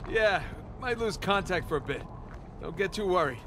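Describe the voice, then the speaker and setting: Wry American treasure hunter over noisy ambience. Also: male voice, sits high, expressive, normal speed, bright, forceful tone, exaggerated voice. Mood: casual, intent. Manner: wry, understated. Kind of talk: naturalistic video game acting. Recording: game ambience noise behind.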